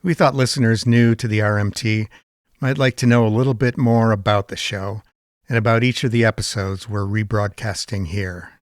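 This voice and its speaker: A man's voice: fairly low pitch, steady tone, moderate pace, dry recording, clean studio sound. A man reading a scripted, informative introduction as a series presenter.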